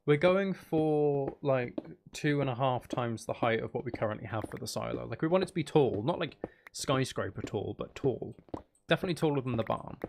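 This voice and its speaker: A man's voice: medium pitch, expressive, fairly quick, gravelly, a little mellow, slight background noise. A man chatting casually and thinking aloud as he hosts a live game stream, talking to his viewers.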